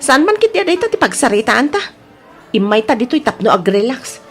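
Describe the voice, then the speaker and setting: Woman acting high-pitched, proud Filipina wife. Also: very animated, a little fast, faint music behind. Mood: guarded, casual. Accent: Filipino (Ilocano). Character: jealous, forceful wife Grace, proud and suspicious. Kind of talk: Ilocano audio drama acting.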